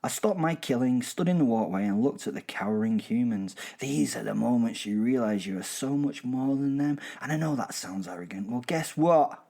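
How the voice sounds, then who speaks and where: A man's voice: medium pitch, mellow. A man playing an arrogant, savage werewolf who narrates a horror audio drama in a theatrical, sardonic way, savouring his words. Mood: haughty, contemptuous. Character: arrogant, gleefully savage werewolf killer telling his hunts.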